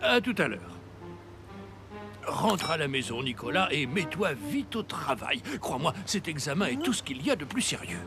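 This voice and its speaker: A kindly old cartoon Santa. His voice is high for a man and swings widely in pitch, with music clearly underneath. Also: sing-song, normal speed. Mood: businesslike. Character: kindly old Santa Claus, mentor to a boy. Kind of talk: French animated series dubbing.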